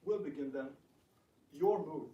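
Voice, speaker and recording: Dignified high-voiced prince played by a man, formal. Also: muffled recording, noisy background, natural voice. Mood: composed. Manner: formal, firm, deliberate. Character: dignified, stubborn divine prince with quick temper. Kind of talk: staged dramatic reading. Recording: echoey room, distant microphone.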